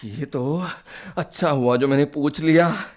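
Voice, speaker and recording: A man's voice: a little high, unhurried, a little mellow, natural voice. An actor playing an irritable, ailing man with a fever and cough, groaning and complaining in a radio play. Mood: suffering, self-pitying. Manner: exasperated, complaining, groaning, melodramatic. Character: irritable bedridden sick man scolding his servant. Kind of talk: Hindi radio play acting.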